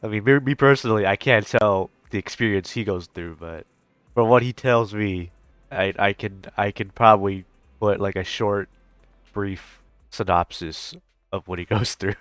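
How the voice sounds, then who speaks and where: A man's voice: fairly low pitch, moderate pace, fairly bright tone, no echo. A man giving casual, rambling, hesitant reaction commentary on a video game, swearing now and then. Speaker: gaming streamer reacting to a story quest.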